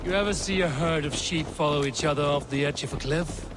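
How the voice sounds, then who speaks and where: A man playing a stoic, wry Norse warrior in a video game, with a measured, dry delivery. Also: a little high, background noise, lightly acted.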